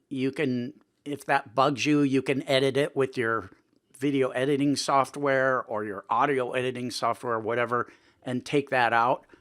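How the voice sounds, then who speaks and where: A man's voice: medium pitch, a bit bright. A man presenting a casual tutorial in a conversational way, thinking aloud as he goes. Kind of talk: casual screen-recording tutorial talk.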